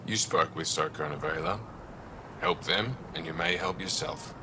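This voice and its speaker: An actor playing a stern, wary alien official in a video game, with a clipped, reserved manner. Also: male voice, very deep voice, normal speed, background noise. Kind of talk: game dialogue.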